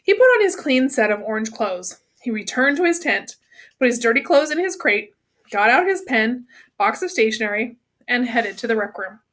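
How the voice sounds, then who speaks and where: A woman's voice: on the high side, very animated, normal speed, a bit bright. A woman reading a children's novel aloud as a storyteller. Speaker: read-aloud reader.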